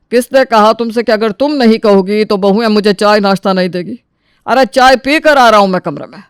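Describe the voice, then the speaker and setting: A man's voice: sits high, clean tone, dry recording, studio quality. A man playing an elderly, reasonable but exasperated father-in-law who admonishes and pleads for peace in a family story.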